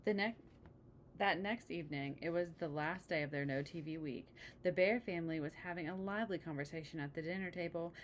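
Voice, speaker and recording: Female storybook reader, slightly low register, chatty with young listeners, faint noise on the recording. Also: brisk. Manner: engaging, asks the listener questions. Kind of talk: read-aloud of a children's picture book with chatty asides to the listener.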